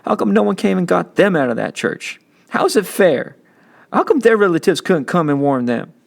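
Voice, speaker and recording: Upset young boy, acted by a man, raised pitch, pleading, novel character. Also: big pitch swings, normal speed, creaky, heavily acted. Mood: distressed.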